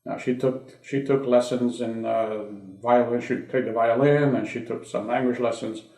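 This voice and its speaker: Hungarian-born man in his sixties, oral history interviewee, reflective with restarts, mid pitch, echoey room. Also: steady tone, normal speed, darkish tone. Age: 60s. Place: his home. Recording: roomy home interview.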